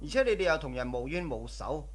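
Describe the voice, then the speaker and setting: Calm husband, radio drama, soothing, doting, gently dismissive of fears. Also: male voice, fairly high pitch, fast talker, breathy edge. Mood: reassuring. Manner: reassuring, indulgent.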